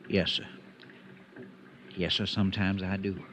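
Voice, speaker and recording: An actor playing a deferential, nervous farmhand in an old radio drama, hesitant and servile. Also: male voice, low voice, little pitch movement, a little slow, fairly bright tone, soft background music. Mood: apologetic, uneasy. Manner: hesitant, servile, always saying sir. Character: deferential, nervous farmhand loyal to his boss. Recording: muffled old radio recording.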